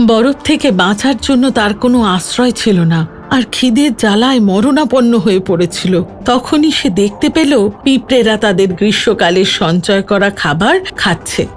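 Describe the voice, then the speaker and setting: Lively, expressive woman narrating a children's story. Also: mid-range voice, moderate pace.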